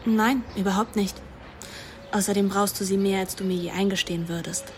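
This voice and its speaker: An actress playing a frank, teasing young daughter who also narrates a radio play. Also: female voice, mid-range voice, fairly even intonation, a little fast, noisy background, close to an everyday voice. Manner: direct, dry, teasing. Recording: music behind the voice.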